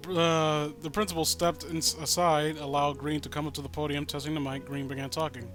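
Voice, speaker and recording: A man with a slightly high voice does a comedic read-aloud full of ranting commentary. He is profane and exasperated and often stumbles over his words. Soft music plays behind him. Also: flat delivery, brisk. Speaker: host reading and riffing on a fan-written horror story.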